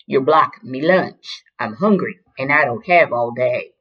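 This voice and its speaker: A woman's voice: very deep voice, animated, a little slow, breathy edge, lo-fi sound, pitched below the performer's own voice, full character voice. A woman voicing a rude, bullying big male elephant in a storybook, with blunt, bossy, slangy taunts. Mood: menacing, bossy.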